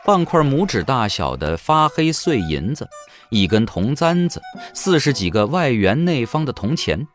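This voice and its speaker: A man's voice: mid-range voice, big pitch swings, a little slow, faint music behind. A man reading scripted, dramatic narration for a fantasy audio drama.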